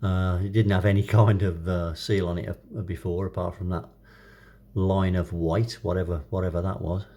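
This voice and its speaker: A man gives a casual hands-on demonstration, thinking aloud in an unhurried, hesitant way. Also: low voice, little pitch movement, mellow. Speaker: hobbyist video presenter.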